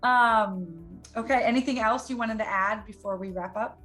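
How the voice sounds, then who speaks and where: A woman's voice: a little high, normal speed, fairly bright tone, faint music behind. A woman hosting a video-call interview, chatty and enthusiastic. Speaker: interview host. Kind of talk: interview chat.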